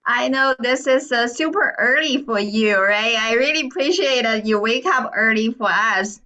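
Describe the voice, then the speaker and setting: Woman club president hosting an online meeting; higher, bright voice, unhurried, muffled call audio. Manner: friendly, laughs between remarks. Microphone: video call audio.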